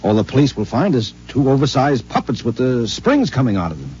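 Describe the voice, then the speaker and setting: A man portraying a confident married man with a mellow, dark voice and a brisk, persuasive way of talking. The sound is dull and lo-fi, with a faint hiss as on a 1950s radio broadcast. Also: medium pitch, big pitch swings, lightly acted. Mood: steady. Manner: brisk, persuasive, fond of sighs and hums. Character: worldly, self-assured married man. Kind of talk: 1950s radio drama acting.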